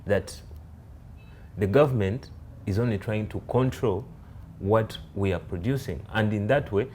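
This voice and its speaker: A man's voice: fairly low pitch, measured pace, darkish tone, slight background noise. A man, interviewing a guest in a casual way.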